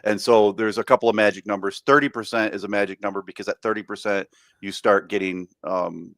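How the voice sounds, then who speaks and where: A man's voice: fairly low pitch, steady tone, a bit bright, no room sound, clean studio sound. A man co-hosting a podcast, chatting casually and often restarting his words. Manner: halting, repeats and restarts words. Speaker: podcast co-host. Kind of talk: casual chat.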